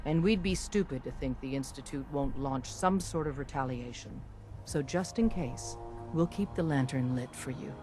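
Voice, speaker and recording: An actor playing an eloquent, solemn veteran resistance operative in a game, speaking in a measured, florid way. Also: male voice, mid-range voice, close to an everyday voice. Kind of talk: game cutscene acting. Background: music.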